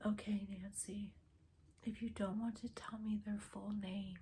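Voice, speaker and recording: A woman speaking in a hushed whisper to her viewers, gently and patiently pleading as she tries to reach a spirit from her home. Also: medium pitch, little pitch movement, measured pace, a little hiss or hum. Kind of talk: spirit communication vlog.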